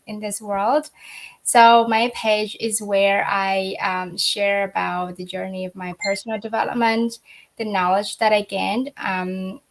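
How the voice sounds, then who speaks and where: A young adult woman hosting a relaxed interview. She speaks politely and hesitantly, filling pauses with small sounds as she feels her way toward each question. Her pitch sits at an ordinary middle level. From Vietnam. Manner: hesitant, many fillers, polite. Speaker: host. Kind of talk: casual interview chat.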